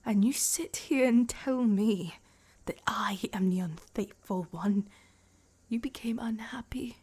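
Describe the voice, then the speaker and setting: A woman playing a proud, betrayed royal wife in a dramatic fantasy roleplay, indignant and heartbroken, speaking in a breathy, half-whispered, accusing way. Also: mid-range voice, takes it slowly, darkish tone. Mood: indignant, bitter.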